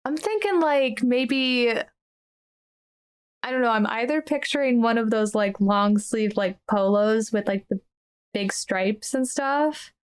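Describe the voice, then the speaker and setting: Female roleplaying podcast player, fairly high pitch, slow delivery, tentative and casual. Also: smooth. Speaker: player in a tabletop roleplaying game. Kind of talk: casual collaborative chat.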